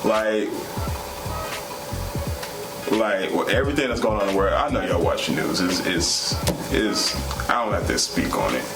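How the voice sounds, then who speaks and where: A man's voice: deep voice, normal speed, over loud music. A young man talks earnestly and casually to viewers as a vlog co-host, repeating himself at times. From United States. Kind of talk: casual sincere talk to viewers. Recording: echoey room with clear background noise.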